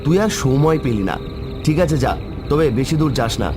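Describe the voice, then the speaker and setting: A man's voice: on the high side, lively intonation, fairly quick, over music, voice pushed up, close to an everyday voice. A man voicing a bold, skeptical young man who scolds his friend in a cartoon horror story. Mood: irritated. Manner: dismissive, scolding.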